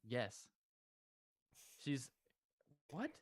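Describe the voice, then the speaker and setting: A man's voice: medium pitch, huge pitch swings, very slow, slight background noise. A man co-hosting a podcast, answering questions in casual banter and teasing his partner with disbelief. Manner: incredulous, teasing, swears freely.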